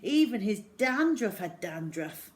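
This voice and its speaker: A woman reading a children's story aloud in an expressive way, acting out the words as she goes. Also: medium pitch, unhurried, a little hiss or hum. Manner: expressive, acts out dialogue and sound words.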